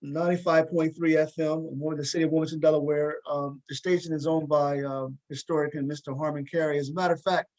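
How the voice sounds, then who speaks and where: Man, talk show host, fairly high pitch, casual, hesitant, repeats words, video call. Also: level tone, fast, hardly any pauses, a little mellow, dull audio. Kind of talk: casual interview.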